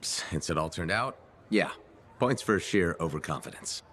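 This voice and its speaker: A man acting as a steady, plain-spoken freelancer hero in a video game, direct and dryly amused. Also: low-pitched, measured pace, heavily acted. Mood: dry exasperation. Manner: direct, dry, questioning.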